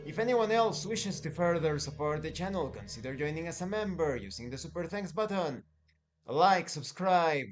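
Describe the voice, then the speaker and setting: Male game reviewer, slightly high voice, deliberate emphatic phrasing, light music bed underneath. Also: expressive. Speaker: tabletop game reviewer and channel host. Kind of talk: review commentary to viewers.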